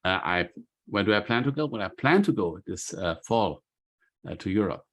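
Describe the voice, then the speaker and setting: A man's voice: on the low side, animated, normal speed. A man from Vienna, an executive coach chatting hesitantly on a video call. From Vienna, Austria. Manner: hesitant, many uhs. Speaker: guest speaker, executive coach. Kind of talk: casual chat. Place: home in Silicon Valley.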